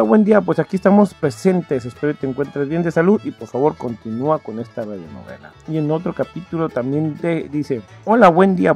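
Casual male podcast host over soft guitar. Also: medium pitch, slightly rough. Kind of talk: casual chat, reading out listener comments. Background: faint guitar music.

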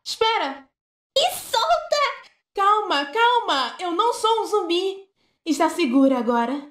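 A woman's voice: extremely high pitch, very animated, unhurried, heavily acted. A woman playing a brave, caring young survivor in a horror game dub, earnest and protective. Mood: urgent, calming. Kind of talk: fan-dubbed horror game acting. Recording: echoey, under loud game music.

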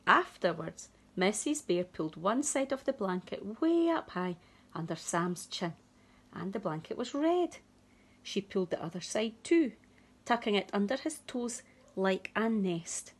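A woman in her thirties reading a picture book to young children expressively and deliberately, with dramatic pauses. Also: mid-range voice, sing-song, slightly rough. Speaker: early years teacher reading to children. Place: small room at home, indoors.

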